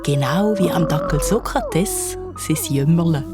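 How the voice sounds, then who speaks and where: Animated, unhurried Swiss German woman storyteller. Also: on the low side, music in the background. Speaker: narrator. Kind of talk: dramatic children's story narration.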